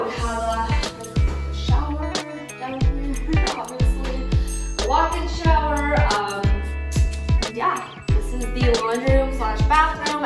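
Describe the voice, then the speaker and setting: A woman in her twenties chatting casually and self-consciously through a vlog tour, with plenty of fillers. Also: fairly high pitch. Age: 20s. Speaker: vlogger. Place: car, then empty house with bare rooms. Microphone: camera mic, from across the room. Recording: echoey empty rooms, distant mic, background music.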